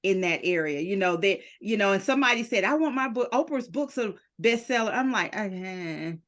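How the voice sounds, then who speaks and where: A middle-aged woman hosting an interview as a book publisher, an animated storyteller who acts out the dialogue she quotes. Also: medium pitch, a little fast. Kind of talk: podcast interview.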